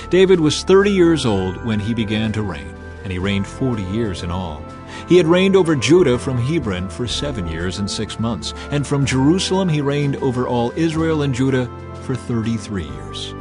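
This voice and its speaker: A man narrating scripture as a dramatized audiobook, in a solemn, emphatic, clearly enunciated storytelling manner. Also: mid-range voice. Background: background music.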